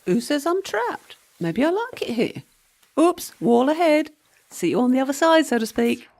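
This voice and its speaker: A woman's voice: sits high, very animated, moderate pace, darkish tone. A woman playing the ghost of a flippant young servant in a comic radio play, sarcastic, teasing and breezy. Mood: dismissive.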